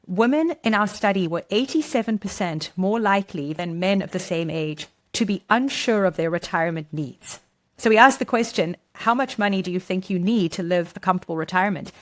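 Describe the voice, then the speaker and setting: A woman's voice: mid-range voice, lively intonation, fairly quick. A middle-aged woman with a South African accent, a guest on a podcast interview, explaining things at length in a conversational way. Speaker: guest, marketing director.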